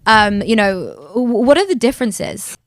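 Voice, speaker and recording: A woman's voice: fairly high pitch, lively intonation, moderate pace, no echo, studio quality. A woman hosting a podcast interview, asking questions in an upbeat, eager manner. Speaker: podcast host. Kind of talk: casual interview.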